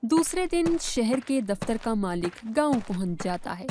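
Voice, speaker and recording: A woman's voice: on the high side, big pitch swings, a little slow, background noise. A woman reading scripted storybook narration as the narrator.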